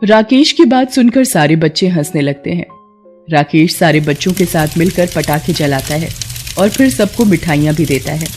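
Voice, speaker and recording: A woman's voice: low-pitched, animated, normal speed, darkish tone, soft background music. A woman reading scripted narration for a children's moral story.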